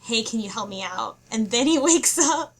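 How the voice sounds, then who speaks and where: A woman's voice: a little high, expressive, moderate pace. A woman on a podcast panel, casually talking through a story for a book club.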